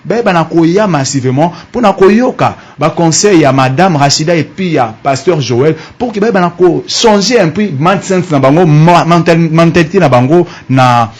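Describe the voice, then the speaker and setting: Man, radio co-host, halting, lots of fillers. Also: a little high, expressive. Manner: halting, full of fillers, mixes French and Lingala.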